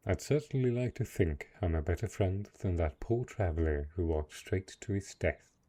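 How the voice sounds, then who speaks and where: Brooding dark knight, played by a male actor: deep, mellow, measured, lonely and possessive, for fantasy roleplay audio. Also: expressive. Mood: soft, coaxing. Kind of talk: dramatic roleplay audio monologue.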